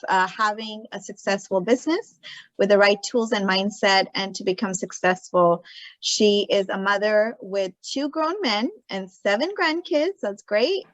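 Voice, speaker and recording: A woman's voice: mid-range voice, a bit bright, no echo, low-quality recording. A woman in her thirties hosting an online community session over a video call, hesitant and full of fillers. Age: 30s. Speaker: host.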